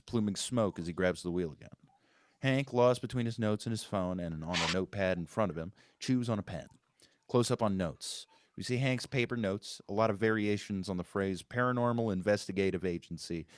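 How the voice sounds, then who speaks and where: A man's voice: fairly low pitch, no echo. A man narrating stage directions at a screenplay table read, in scripted narration.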